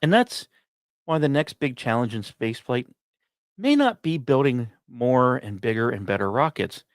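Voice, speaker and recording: A man's voice: medium pitch, expressive, normal speed, no room sound, clean studio sound. A man hosting a podcast, reading scripted science commentary with emphatic, dramatic phrasing and informal asides. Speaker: podcast host.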